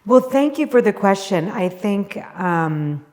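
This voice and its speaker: A woman from Algeria, a guest in a conversation, speaking in a thoughtful, measured way with occasional pauses. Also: medium pitch, animated, darkish tone, big-room sound. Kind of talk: conversational interview.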